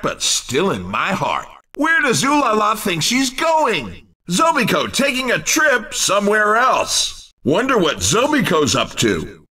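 A man's voice: high-pitched, bright, forceful tone, recorded from a distance. A man commentating on a video game race in a theatrical, jokey sports-announcer style. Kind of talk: excited cartoon racing game commentary.

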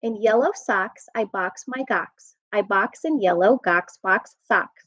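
An American woman, around her thirties or forties, reading a rhyming children's book aloud in an expressive, sing-song way. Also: medium pitch, a little slow, no room sound. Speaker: children's storytime reader. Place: room at home. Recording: dull, muffled webcam audio.

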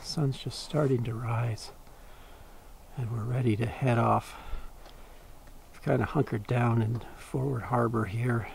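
A man casually narrating a boating vlog outdoors on his boat, relaxed and chatty, sometimes dropping to a soft voice. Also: mid-range voice, unhurried, gravelly, pauses often, slight background noise. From Canada. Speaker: boating vlogger.

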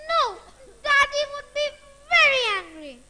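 A woman's voice: extremely high pitch, sing-song, unhurried, big-room sound, full character voice. A woman playing a fiery judge's daughter. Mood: coy.

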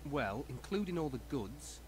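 An actor playing a talkative, hapless fish stall owner in a video game, pitching his wares with a salesman's patter. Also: very animated, moderate pace, darkish tone, close to an everyday voice. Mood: rueful. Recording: game audio with music behind.

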